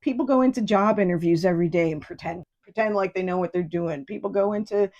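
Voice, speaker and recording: A woman's voice: lowish voice, fast talker, darkish tone, muffled recording. A woman in her fifties from New York State, hosting a solo podcast in a rambling, digressive and profane way. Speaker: podcast host. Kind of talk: casual solo podcast monologue.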